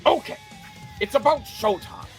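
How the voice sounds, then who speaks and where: A man's voice: extremely high pitch, huge pitch swings, normal speed, heavily acted. A man playing a fervent, defiant wrestling promoter who rallies his crew with grandiose speeches in an audio play. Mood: grudging, then eager. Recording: faint music behind the voice.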